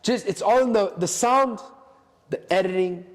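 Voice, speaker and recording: A man's voice: sits high, moderate pace. A man talking casually as a podcast guest, giving advice emphatically in short, repeated imperatives, with long reverb around his voice. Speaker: podcast guest, cooking social media creator. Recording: echoey hall-like reverb.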